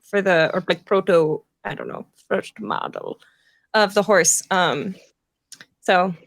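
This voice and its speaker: A woman's voice: medium pitch, slow, vocal fry, no echo. A woman hosting a theater live-stream chat, talking casually in an informal, wry way.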